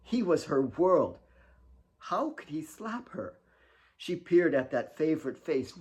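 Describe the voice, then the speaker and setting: A man's voice: a little high, sing-song, slow, a little mellow. A man reading his own novel as a dramatic, scripted audiobook narration.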